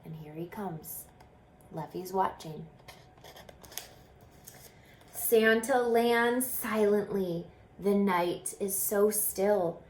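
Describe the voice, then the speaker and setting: A woman reading a children's story aloud in an expressive, explaining manner, pausing to put questions to young listeners. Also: mid-range voice, slight background noise. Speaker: story reader and teacher. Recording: roomy, echoing room sound.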